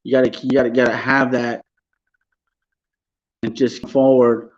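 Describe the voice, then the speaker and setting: Middle-aged rapper guy, polite short answers, flat delivery, dull muffled sound. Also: medium pitch, measured pace. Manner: polite, short affirming replies. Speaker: rapper guest. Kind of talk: casual interview answers.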